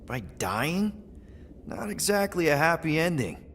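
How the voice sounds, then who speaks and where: A man's voice: a little high, big pitch swings, a little slow, a bit bright, slight background noise. A man playing a casual, wry young space-outlaw team leader in a video game cutscene. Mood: wry, sceptical. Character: wisecracking young human male space-outlaw team leader, laid-back.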